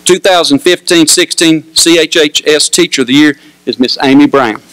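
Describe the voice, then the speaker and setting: A man, a high school principal making measured, courteous ceremony announcements into a microphone in an auditorium. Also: noisy background. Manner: measured, courteous emcee delivery.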